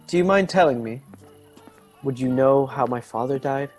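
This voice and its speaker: An actor playing an earnest, courteous young gentleman in a radio drama, troubled and polite. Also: medium pitch, moderate pace, soft background music, natural voice. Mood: shaken, earnest.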